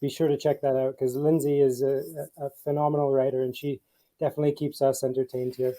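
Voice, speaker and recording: Mellow-voiced man moderating online. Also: medium pitch, level tone. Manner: halting, appreciative, supportive. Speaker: online conference session host. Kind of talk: casual, unscripted moderating. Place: online video conference.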